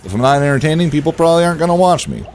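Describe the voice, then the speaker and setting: A man with a relaxed voice, chatting casually to viewers as he streams a game and thinks aloud. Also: a little high, rapid-fire, a little hiss or hum. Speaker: game livestreamer. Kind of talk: casual livestream chat over gameplay.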